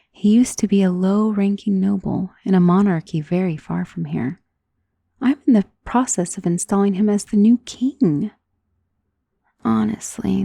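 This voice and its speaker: A woman playing a centuries-old, wealthy vampire in an ASMR roleplay, doting and possessive, speaking in a soft, intimate, genteel and commanding manner. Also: mid-range voice, creaky, slightly rough, no echo, clean studio sound. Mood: composed, explaining. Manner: soft, intimate, genteel, unhurried endearments, often dropping into whisper. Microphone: close microphone in a dry space.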